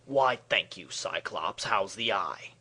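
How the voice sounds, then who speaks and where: A man's voice: fairly low pitch, expressive, slow pace, bright, forceful tone, slightly rough, heavily acted. Man playing a smug, sneering cartoon military villain. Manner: sneering, sardonic. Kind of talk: comedic cartoon parody dub.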